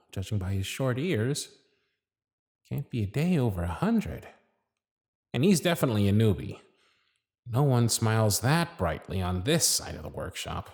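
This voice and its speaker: A man's voice: on the low side, darkish tone, slightly rough, no room sound, studio quality, full character voice. A man playing a weary elf supervisor. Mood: wry. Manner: exasperated pep talks sliding into gloomy muttering. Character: weary male elf supervisor at a toy workshop. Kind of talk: ASMR audio roleplay.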